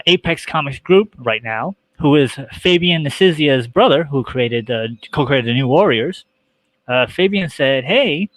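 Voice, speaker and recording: A man with a mid-pitched voice talks as a guest on a show. His delivery is conversational and explanatory, and the sound is dry with hardly any room on it. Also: a bit bright, dry recording, rough recording. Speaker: guest, comic book creator. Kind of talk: casual interview talk.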